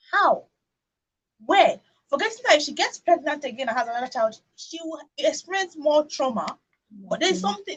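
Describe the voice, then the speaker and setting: Nigerian female radio talker, raised pitch with a husky grain, animated and outspoken. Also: poor audio. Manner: emphatic, opinionated, uses rhetorical questions. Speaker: radio talk show host. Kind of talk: animated conversational radio discussion.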